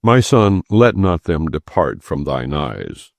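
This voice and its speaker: A man reading scripture as an audiobook narrator, in a solemn, measured and deliberate way with emphatic phrasing. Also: very deep voice, very animated, creaky, dry recording.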